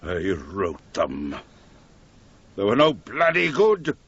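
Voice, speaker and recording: Wounded eccentric poet, declamatory, menacing, very animated pitch, slightly muffled old radio drama recording. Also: male voice, takes it slowly, slightly rough, no echo, exaggerated voice. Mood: aggrieved. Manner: theatrical, declaiming, indignant. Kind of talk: 1980s British radio drama acting.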